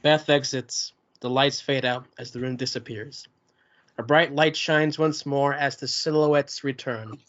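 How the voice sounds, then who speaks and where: A man's voice: medium pitch, fairly even intonation, a little slow, fairly bright tone. A man reading the stage directions of a play in a table read. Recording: dull lo-fi recording.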